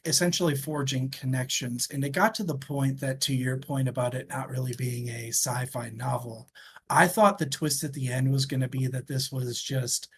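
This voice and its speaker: A man's voice: mid-range voice, steady tone, normal speed, slight background noise. A man co-hosting a casual, conversational book discussion. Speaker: book podcast co-host.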